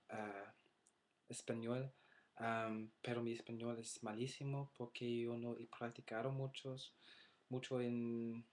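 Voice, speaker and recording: Hesitant young man, slow flat speech. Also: mid-range voice. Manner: hesitant, many filler sounds, searching for words. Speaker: language learner. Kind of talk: casual webcam vlog. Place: small bedroom indoors. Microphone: laptop webcam.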